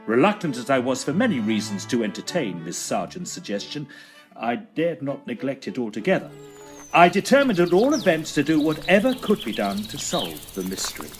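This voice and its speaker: A man's voice: mid-range voice, wildly swooping pitch, moderate pace, soft background music. A man playing a courteous, slightly flustered Edwardian house agent in an audio drama, speaking formally in an English accent. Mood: determined, narrating. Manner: formal, fussily polite, theatrical. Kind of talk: audio drama acting with first-person narration.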